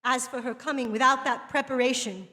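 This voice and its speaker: An earnest woman of letters giving a dramatic lecture. Her high voice moves through measured, rhetorical sentences. It rings with the long reverb of a hall. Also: steady tone, bright, forceful tone. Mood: impassioned, resolute. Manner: measured, literary, rhetorical delivery. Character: earnest, eloquent woman writer lecturing to women. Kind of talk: dramatic reading of a literary lecture.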